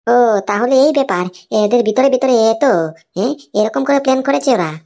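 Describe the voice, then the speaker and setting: A brisk underling from a comic cartoon , voiced by a woman, talks in a fairly high voice at a racing pace. The character is inquisitive and addresses the boss in quick, eager-to-know dialogue. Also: darkish tone.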